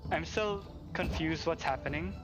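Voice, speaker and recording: Male game streamer, higher-pitched, excitable and chatty, casual live delivery over clear game music. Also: normal speed, poor audio. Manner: excitable, repeats thanks, chuckles often. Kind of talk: casual livestream chat. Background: game music and character voices.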